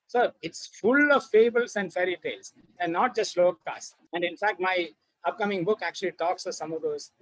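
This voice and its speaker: A man's voice: sits high, moderate pace, no room sound, low-quality recording. A man giving an informal, explanatory talk for children as an expert, often repeating and rephrasing his points. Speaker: expert author and researcher.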